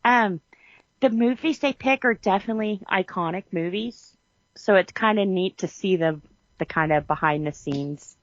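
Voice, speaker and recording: A woman's voice: medium pitch, moderate pace, no room sound. A woman co-hosting a podcast, talking casually in a chatty, informal storytelling way. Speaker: podcast co-host. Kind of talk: casual chat. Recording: dull, lo-fi sound.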